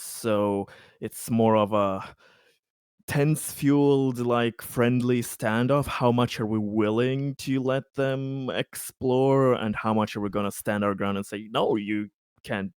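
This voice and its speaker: A man's voice: mid-range voice, darkish tone, smooth, no echo, clean studio sound. A man playing a tabletop roleplaying game, talking through plans casually with the group. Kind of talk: casual group planning chat.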